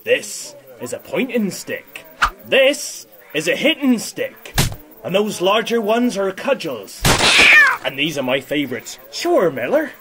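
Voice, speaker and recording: An actor playing a genial but sly rustic villager in an audio drama, folksy and theatrical. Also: male voice, high-pitched, huge pitch swings, takes it slowly, over loud music, full character voice. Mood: placating.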